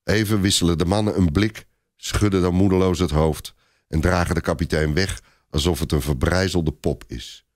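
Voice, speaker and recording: A man's voice: low-pitched, moderate pace, a little mellow. A man narrating a scripted adventure story in a theatrical, suspenseful manner.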